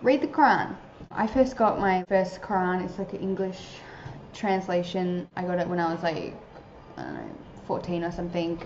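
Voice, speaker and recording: A young woman speaks in a casual, earnest advice talk, her speech dotted with fillers. Her voice is soft and mellow. The recording sounds roomy and a little dull. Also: lowish voice, big pitch swings, normal speed, slight background noise. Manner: earnest, chatty, many filler likes. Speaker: vlogger giving advice to new converts.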